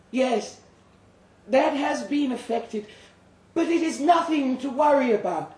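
High rasping creature, sinister storybook monster doctor, patronising, very slow and deliberate, echoey room. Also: male voice, a little mellow, full character voice. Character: sinister, gently condescending Frankenstein's monster doctor with metal teeth. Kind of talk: dramatic storybook reading.

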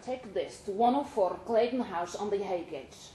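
A woman's voice: medium pitch, normal speed, dark tone, close to an everyday voice. A woman reader voicing a dodgy male minicab boss in a story, curt and offhand. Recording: roomy pub recording with faint noise.

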